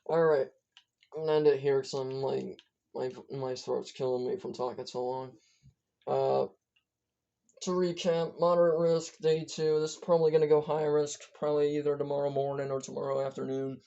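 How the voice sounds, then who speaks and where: A hoarse speaker whose voice is giving out, giving a casual, rambling weather briefing as an amateur forecaster, stumbling and correcting themselves often. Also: mid-range voice, flat delivery, normal speed, dark tone. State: hoarse, losing voice. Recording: dull lo-fi recording.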